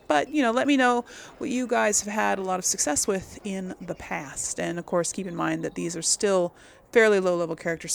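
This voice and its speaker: A woman's voice: medium pitch, gravelly. A woman giving casual playthrough commentary as host of a gaming video, chatty and thinking aloud.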